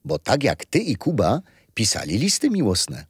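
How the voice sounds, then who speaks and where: A man's voice: on the low side, huge pitch swings, moderate pace, slightly rough, dry recording, clean studio sound, full character voice. An actor playing a kindly, patient old grandfather who tells history to his grandchildren in a children's radio play. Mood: storytelling, amused. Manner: gentle storyteller, explaining patiently.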